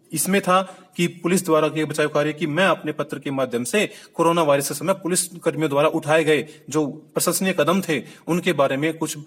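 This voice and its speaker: Male teacher, slightly higher voice, patient step-by-step explainer who repeats key points and checks the viewer follows. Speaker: Hindi teacher. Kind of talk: classroom-style video lesson.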